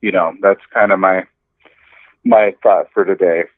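A man's voice: low voice, fairly even intonation, a little slow, no echo. A man in a casual podcast conversation, thinking aloud in a hesitant way. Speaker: podcast discussion partner. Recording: dry, narrow phone-like line.

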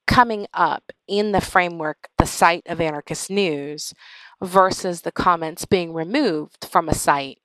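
A woman hosting a podcast conversation, speaking in a measured, halting, thoughtful way. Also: sits low, a bit bright, no echo, poor audio. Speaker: podcast host. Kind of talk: conversational interview with reflective remarks.

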